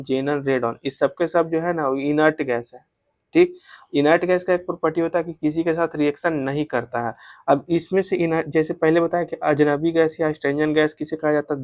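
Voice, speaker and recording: A man with a Bihari accent and a slightly high voice teaches in an informal coaching style. He poses questions, answers them himself and repeats the facts, dropping in English terms. The sound is dry, with very little room echo. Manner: repetitive, drilling facts with self-posed questions; mixes in English technical terms. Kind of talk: informal exam revision lesson.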